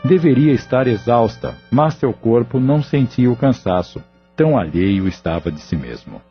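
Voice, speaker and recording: A man narrating an audio novel in a measured, somber storytelling voice. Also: mid-range voice, animated. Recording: dull lo-fi audio with faint music.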